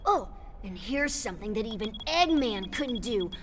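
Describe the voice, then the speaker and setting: Woman voicing a high, childlike young fox boy genius. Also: expressive, normal speed, noisy background, natural voice. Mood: proud. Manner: earnest, boastful. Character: young boy fox inventor, eager, cheerful, cocky genius. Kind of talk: animated video game cutscene acting.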